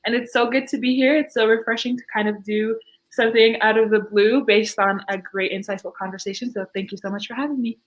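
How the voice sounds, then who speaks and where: Young woman from Fiji, podcast guest, lively storyteller with lots of laughs. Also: fairly high pitch, fast, dull audio. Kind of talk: casual interview chat.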